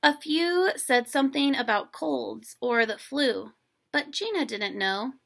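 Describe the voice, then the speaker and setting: Female storybook reader for kids, expressive and chatty, slower pace with some creak in the voice. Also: sits high. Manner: chatty, playful, expressive, exclaims and comments to young listeners. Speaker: teacher reading a children's book aloud. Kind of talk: animated story read-aloud with casual asides.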